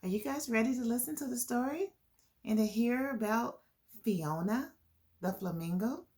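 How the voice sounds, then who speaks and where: A woman's voice: on the high side, moderate pace, heavy background noise. A woman hosting a children's storytime, reading a picture book aloud and explaining it to young listeners. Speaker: children's storytime host and reader.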